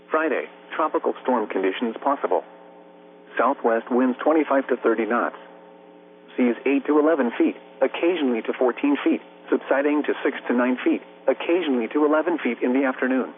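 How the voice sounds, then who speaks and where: A synthetic-sounding American man reads a scripted weather bulletin as a radio announcer, in a formal, impersonal, measured way. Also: medium pitch. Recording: narrow-band weather radio broadcast, faint hum.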